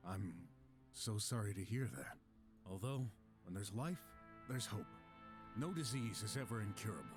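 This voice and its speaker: A man's voice: on the low side, normal speed, natural voice. A man playing an earnest, stubborn, kind-hearted young detective in a video game, protective and insistent. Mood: concerned, earnest. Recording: game music behind the voice.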